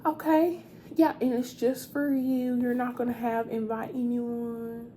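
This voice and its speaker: Slow, soft-spoken gossipy receptionist played by a woman. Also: a little high, level tone, a little hiss or hum, close to an everyday voice. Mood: helpful, friendly. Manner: confiding, chatty, repeats words. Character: sweet, chatty, gossipy female hotel receptionist. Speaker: ASMR roleplay performer. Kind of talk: soft-spoken ASMR roleplay.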